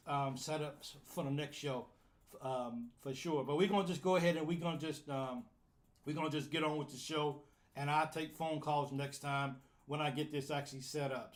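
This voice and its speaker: A man hosting a live fan call-in show, casual and flustered while fixing his audio setup. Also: a little high. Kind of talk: casual live-stream chat.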